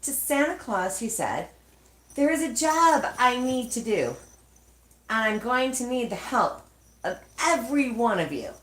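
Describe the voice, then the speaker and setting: A woman reading a children's storybook aloud expressively, with measured, dramatic phrasing and pauses. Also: fairly high pitch, sing-song, a bit bright. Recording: echoey, roomy sound.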